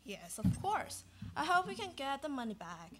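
Woman as earnest, boastful sheriff for radio drama, slightly high voice, distant mic. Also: sing-song, moderate pace, a bit bright. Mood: proud, earnest. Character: proud, dutiful sheriff who loves the badge. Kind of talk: student live radio play reading.

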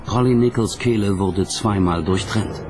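Low-voiced male documentary narrator. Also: measured pace, music in the background.

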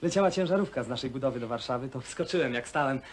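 Fast-talking, breezy young man played by an actor. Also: mid-range voice, expressive, natural voice. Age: young adult. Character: breezy, slangy young man back from work placement. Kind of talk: naturalistic film drama dialogue. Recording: old Polish film soundtrack, faint noise.

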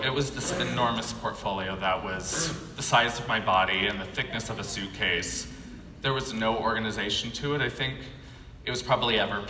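American male keynote speaker, lowish forceful voice, measured storytelling from a podium in a reverberant hall. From California, United States. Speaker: keynote speaker, animation artist. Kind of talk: prepared autobiographical keynote speech. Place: conference stage at a podium, indoors. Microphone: podium gooseneck microphone. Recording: echoey hall PA, faint background noise.

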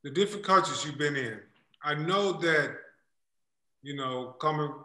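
A man co-hosts a podcast over a video call, asking questions in a relaxed, chatty way. His voice is bright and a little high, with a roomy echo around it. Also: measured pace. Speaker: podcast co-host. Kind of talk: casual interview questions. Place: indoor room. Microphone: video call webcam mic.

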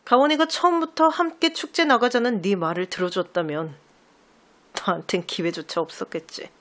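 A woman playing an insecure teenage idol trainee in an audio drama, with a soft, halting, emotional delivery. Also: mid-range voice, fairly even intonation, close to an everyday voice. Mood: bitter, resigned. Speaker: amateur voice actor. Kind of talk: Korean audio drama acting.

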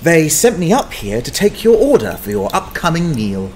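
An actor playing a hapless, wry adventurer who mutters and stammers in a dramatized fantasy audiobook. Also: male voice, a little high, huge pitch swings, moderate pace, a little mellow, slight background noise. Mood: nervous bluffing. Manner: muttering to himself, stammering, sardonic.

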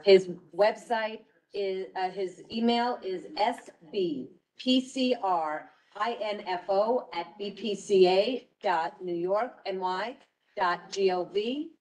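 A woman's voice: mid-range voice, slow pace, darkish tone. A woman giving an informal committee report at a community board meeting. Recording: distant mic in meeting room.

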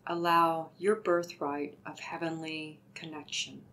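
Woman doing guided meditation, low pitch, really slow and soothing, gentle careful instructions. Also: level tone.

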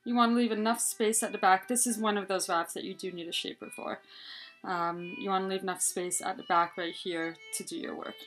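Young female tutorial host, mid-range voice, chatty step-by-step delivery, small room, soft music underneath. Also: mid-range voice, level tone, a little fast. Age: young adult. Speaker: head scarf tutorial host. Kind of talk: casual hands-on tutorial. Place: small room indoors, plain wall.

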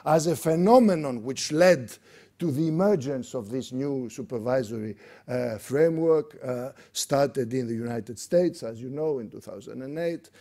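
A middle-aged man with a Greek accent speaks from a podium in a conference room. His voice is warm and mellow, and he works through his points with frequent fillers and restarts. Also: mid-range voice, moderate pace. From Greece. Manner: hesitant, many fillers and restarts. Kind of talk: unscripted lecture.

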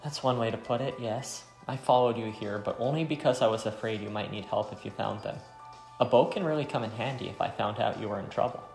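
A grieving but determined woman archer from a visual novel, read by a man in a medium male pitch. The delivery is creaky, in a roomy space with faint music. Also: a little fast, a little mellow. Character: grieving, determined young woman archer from war-torn homeland.

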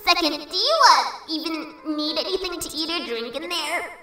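A woman's voice: high voice, moderate pace, pushed and bright, soft background music. A woman voicing a gushing, affectionate young fan who reads out questions in a comic dub. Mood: affectionate, excited. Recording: very echoey, distant from mic.

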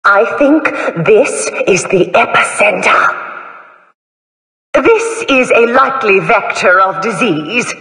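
An actress playing a gleefully morbid, mock-polite plague doctor death goddess in a video game, with theatrical, drawn-out delivery. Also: female voice, mid-range voice, expressive, bright, forceful tone. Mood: mock concern. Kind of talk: video game character voice lines. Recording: echoey hall reverb.

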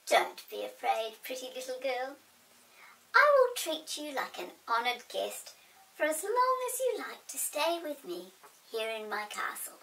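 A woman voicing a small, sly male goblin in a children's storybook, pompously and over-politely courteous, with a sharp, creaky voice. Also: on the high side, big pitch swings, a little slow, distant mic, full character voice, poor audio. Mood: oily politeness.

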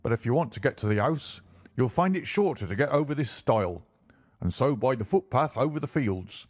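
A man's voice: medium pitch, expressive, a little fast, a little mellow, husky, no room sound, studio quality, voice pushed up. A man voicing a plain, helpful rustic English cart driver, folksy and deferential, in a storybook reading.

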